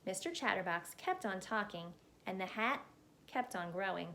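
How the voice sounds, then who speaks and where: A woman reading a children's picture book aloud as a storyteller, in an expressive narrating style. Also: medium pitch, moderate pace, a little hiss or hum.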